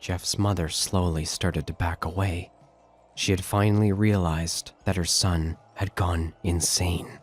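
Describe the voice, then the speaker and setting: A man's voice: low voice, fairly even intonation, unhurried, soft background music. A man narrating a scripted horror story as the narrator of an audio drama.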